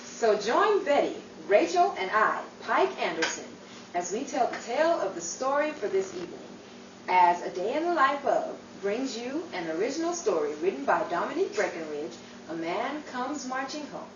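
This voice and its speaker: A woman's voice: a little high, big pitch swings, normal speed. A woman playing a theatrical narrator introducing a 1940s-style radio drama with a scripted, inviting delivery. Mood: inviting, dramatic. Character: theatrical 1940s radio show announcer Pike. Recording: dull, noisy, echoey, distant mic.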